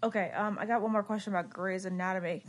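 A woman's voice: mid-range voice, fairly even intonation, quick pace. A young adult woman hosting a casual podcast chat, with a nasal, congested voice and an informal, inquisitive way of talking. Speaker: podcast host.

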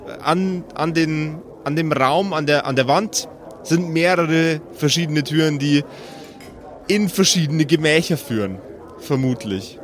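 A man running an improvised tabletop game as game master, describing scenes in a dramatic, deliberate way with pauses. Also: on the high side.

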